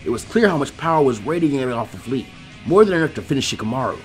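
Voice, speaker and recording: Man, dramatic fiction narration, husky, lively intonation, background music. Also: mid-range voice, fast talker. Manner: dramatic, expressive delivery. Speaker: fan fiction audiobook reader. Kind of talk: scripted narration of an action story.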